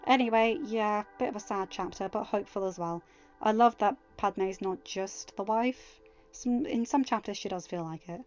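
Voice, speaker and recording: A woman narrating a fan story in a steady reading voice, then chatting casually to listeners. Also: mid-range voice, moderate pace, dull audio, faint music behind. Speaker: fanfiction audiobook reader.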